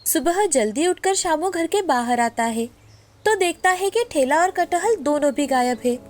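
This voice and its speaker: A woman's voice: high voice, expressive, unhurried, a little mellow, faint music behind. A woman narrator reading a scripted moral tale in storytelling style.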